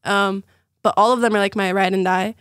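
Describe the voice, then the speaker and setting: A woman's voice: mid-range voice, steady tone, brisk, fairly bright tone, no room sound, clean studio sound. A young woman from Brazil with a Brazilian Portuguese accent, chatting as a podcast guest, with lots of fillers and laughter. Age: young adult. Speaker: guest, former student. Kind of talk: casual podcast chat.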